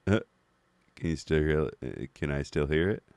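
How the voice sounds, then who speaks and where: A man with a deep voice, thinking aloud with many fillers as he tries out settings during a stream. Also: expressive, normal speed, vocal fry, darkish tone, dry recording. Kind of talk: casual talk while testing voice settings.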